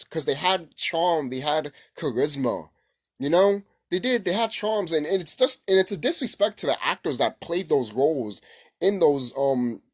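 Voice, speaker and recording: A man giving a casual, rambling opinion as a gaming vlogger, speaking informally and repeating himself. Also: a little high. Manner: informal, repetitive, mild swearing.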